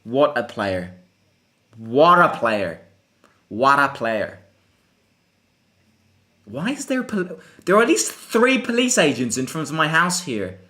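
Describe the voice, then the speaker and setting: A young man from Italy hosting a live football watchalong. He chats casually, answers questions from viewers and breaks into excited reactions to the play. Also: medium pitch, slow, pauses often. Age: young adult. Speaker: fan channel host.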